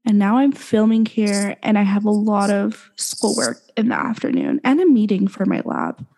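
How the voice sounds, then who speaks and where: A young woman co-hosts a casual podcast with a pitch that swoops up and down all the time. She talks in a giggly, slangy way, and a slight sore throat shows in her voice. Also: sing-song, moderate pace, vocal fry, dark tone, slight background noise. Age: young adult. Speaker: podcast co-host. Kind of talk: casual chat.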